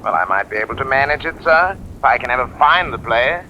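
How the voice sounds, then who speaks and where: An easygoing wing commander with a medium-pitched voice. It is radio-drama acting, muffled and with faint noise. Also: male voice, animated, fast, pushed and bright. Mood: obliging. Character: obliging, easygoing British RAF wing commander. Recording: muffled, distant vintage radio telephone sound.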